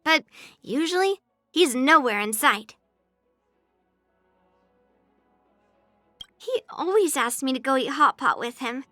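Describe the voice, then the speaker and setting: A woman's voice: sits high, animated, normal speed, bright, forceful tone, no echo, clean studio sound, faint music behind. A woman playing a brash, earnest young swordswoman in a game, blunt but girlish, speaking in a declarative, matter-of-fact way. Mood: casual, musing. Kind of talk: scripted game character voice lines.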